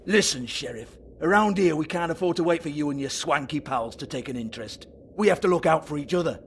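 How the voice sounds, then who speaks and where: An actor playing a sleazy, foul-mouthed strip club owner with a British accent in a video game, mocking and volatile. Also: male voice, on the high side, expressive, a little fast, slight background noise. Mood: defensive, dismissive. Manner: sneering, taunting, swearing.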